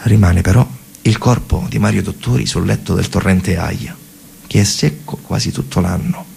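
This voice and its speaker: A man's voice: deep voice, moderate pace, vocal fry, darkish tone, a little hiss or hum. A man with a central Italian accent from Lazio delivers a dramatic narrative theatre monologue, colloquial and emphatic, repeating phrases for effect. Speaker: storyteller actor in a radio theatre monologue.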